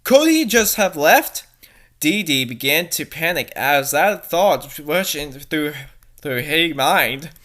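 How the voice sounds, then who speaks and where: Theatrical woman reader, very lively intonation. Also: low voice, takes it slowly. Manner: exasperated, theatrical. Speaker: fanfic reader and commentator. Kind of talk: mocking dramatic story reading with asides.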